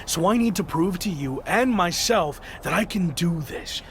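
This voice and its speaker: A man's voice: high-pitched, lively intonation, measured pace, slight background noise. A man playing a proud, headstrong young champion wrestler, insistent and defiant, in a video game cutscene. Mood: determined.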